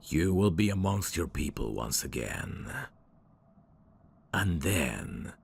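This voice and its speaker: A man playing a suave, devoted demon servant of hell's royalty, speaking formally and deliberately in an ASMR audio drama. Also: big pitch swings, a little hiss or hum, exaggerated voice. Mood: reassuring. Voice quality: gravelly, very deep. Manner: formal, courtly, slow and deliberate.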